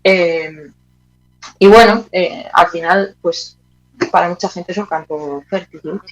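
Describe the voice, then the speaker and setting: A woman's voice: lowish voice, steady tone, slow, poor audio. Reflective woman from Spain in interview. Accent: Spanish from Spain. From Teruel, Aragon, Spain. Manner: reflective, searching for words, tag questions. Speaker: interview guest, playwright. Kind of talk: conversational interview answers.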